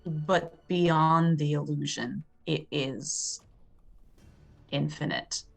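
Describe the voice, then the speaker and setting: A woman improvising poetic, dramatic narration as a player in a tabletop horror game, in a measured manner. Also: sits low, gravelly, faint music behind.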